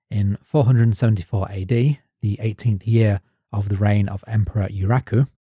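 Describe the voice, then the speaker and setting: Man, low dark voice, a little husky, dry close mic, steady narration from notes. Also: dry recording. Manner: reads prepared notes in even, measured narration. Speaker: podcast co-host. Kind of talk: scripted narration.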